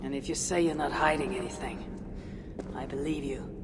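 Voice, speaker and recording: A woman's voice: a bass voice, fairly even intonation, moderate pace. A woman with a Scandinavian accent playing a stubborn, self-assured Viking raider in a video game, blunt and defiant. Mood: grudging trust. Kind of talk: dramatic video game cutscene acting. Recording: long reverb with clear background noise.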